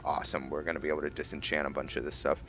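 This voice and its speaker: A man giving casual commentary on a roleplay video game, halting as he thinks aloud and explains the lore. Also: rumbling low, little pitch movement, dull audio, background noise. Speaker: gamer narrating a roleplay playthrough.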